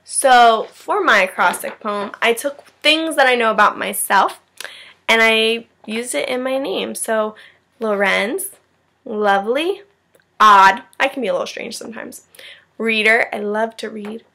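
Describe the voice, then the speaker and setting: A woman's voice: fairly high pitch, measured pace, vocal fry, sharp tone. A woman teacher reading a children's story aloud and chatting encouragingly to her class, checking in with her listeners. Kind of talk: expressive children's read-aloud with asides.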